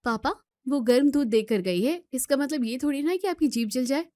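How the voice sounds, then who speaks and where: Actress as young student daughter, outspoken and argumentative, highish pitch, dry recording, family drama. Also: female voice, normal speed, warm tone, no echo, close to an everyday voice. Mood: indignant, reasoning. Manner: scolding, argumentative, emphatic rhetorical questions. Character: outspoken young student daughter defending her sister-in-law. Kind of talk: Hindi family drama voice acting.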